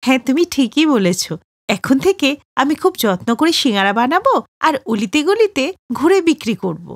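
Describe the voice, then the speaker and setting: A woman's voice: high voice, sing-song, normal speed, no echo, exaggerated voice. A woman voicing a poor, kind snack seller in a cartoon, earnest in tone. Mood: happy.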